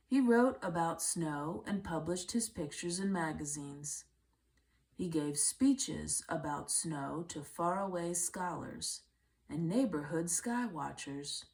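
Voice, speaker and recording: A woman reading a children's picture book aloud as narration, with measured, deliberate phrasing. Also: on the low side, a little mellow. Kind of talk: scripted read-aloud narration.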